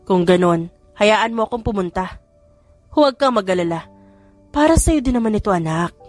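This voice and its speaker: A woman's voice: medium pitch, animated, moderate pace, faint music behind, lightly acted. A woman voicing an older, wealthy matriarch in an audiobook, a firm but fond mother with an authoritative, motherly manner. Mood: gentle, reassuring. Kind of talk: audiobook character dialogue.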